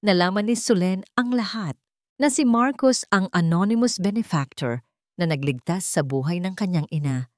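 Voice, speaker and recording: A Filipino woman with a fairly low voice narrates a romantic drama. Her delivery is sentimental and measured, broken into short phrases. The recording is dry and close, with little room sound. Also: animated, no room sound. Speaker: story narrator.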